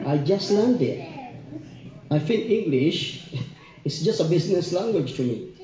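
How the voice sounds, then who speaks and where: A man's voice: on the high side, dark tone. A middle-aged Ugandan man, a native Luganda speaker, giving an earnest, opinionated informal speech to a gathering. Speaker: community event speaker. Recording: muffled, echoey room recording.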